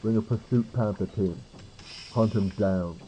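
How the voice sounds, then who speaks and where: A man's voice: on the low side, measured pace, warm tone, a little hiss or hum, natural voice, poor audio. A man voicing a stern guard in an audio roleplay, giving clipped military commands. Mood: urgent, commanding. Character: stern male guard barking orders on a hunt.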